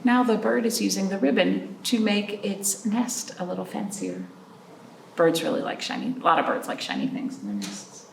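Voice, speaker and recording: Animated woman reading a children's storytime. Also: mid-range voice, gravelly. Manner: prompting listeners with questions and pauses; expressive, drawn-out reading of story phrases. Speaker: children's librarian hosting storytime. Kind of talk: read-aloud storytime for young children. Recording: roomy, distant mic, faint noise.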